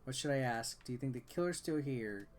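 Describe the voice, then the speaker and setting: A man's voice: mid-range voice, lively intonation, a little fast, slight background noise. A man doing casual, bored-sounding gameplay commentary, reading dialogue aloud and adding sarcastic asides. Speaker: video game reviewer.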